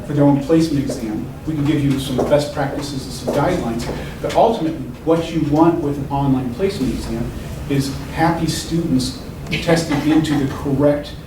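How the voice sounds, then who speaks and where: A man's voice: on the high side, moderate pace, hardly any pauses. A man on a panel explaining his department's approach, with frequent restarts. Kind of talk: panel discussion. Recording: echoey room from far off, noisy.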